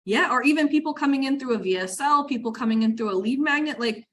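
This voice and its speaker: A woman business coach hosting an interview, talking enthusiastically in fast bursts with lots of fillers. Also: high voice, fairly bright tone, slight background noise. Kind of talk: interview chat.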